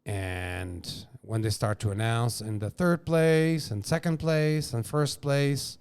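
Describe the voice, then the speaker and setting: A man from Portugal speaking English with a Portuguese accent, a restaurant entrepreneur telling lively stories as a guest, often restarting and repeating phrases. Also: mid-range voice, slow, clean tone. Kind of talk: casual storytelling interview.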